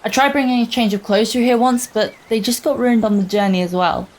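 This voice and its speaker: A young woman playing an earnest, wistful girl explorer in a radio play, narrating in a measured, confiding, dramatic way. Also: fairly high pitch, darkish tone, natural voice, rough recording. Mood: wry. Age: young adult. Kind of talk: scripted first-person radio play monologue.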